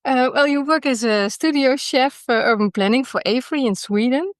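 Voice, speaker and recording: A woman's voice: high voice, moderate pace, dry recording, clean studio sound. A woman hosting a podcast interview, friendly and encouraging, with many short agreements. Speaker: podcast host.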